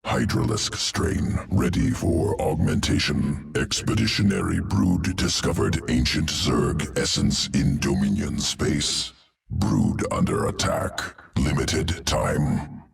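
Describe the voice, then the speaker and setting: A man's voice: very deep voice, animated, takes it slowly, full character voice. A man playing an ancient, cold, menacing alien creature in a video game, with a guttural voice and clipped pronouncements. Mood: clinical, detached. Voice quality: gravelly, guttural. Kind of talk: video game cutscene acting.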